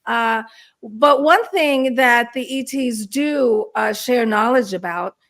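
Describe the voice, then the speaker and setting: Higher-voiced woman in a conversational interview. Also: slow, a bit bright. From born in Istanbul, Turkey; grew up in the Washington DC area. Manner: frequent throat clearing. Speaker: guest, spiritual counselor and experiencer.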